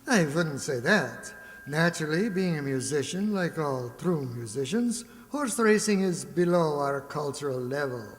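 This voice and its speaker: Wisecracking broke maestro, acted by a man, mock-dignified, high-pitched, vintage radio comedy, echoing hall. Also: expressive, a little slow. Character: wry, broke musician maestro, wisecracking gambler. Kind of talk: old-time radio comedy acting.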